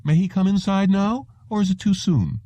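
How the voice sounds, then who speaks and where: A man's voice: high-pitched, normal speed, mellow, no echo, pitched above the performer's own voice. A man voicing a pleasant, polite young female receptionist who articulates neatly and courteously. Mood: pleasant, businesslike.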